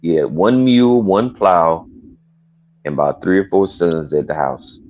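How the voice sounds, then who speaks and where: A man with a very deep voice tells a family story slowly and plainly. He laughs big between lines. The sound is dull and lo-fi. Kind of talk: casual family chat.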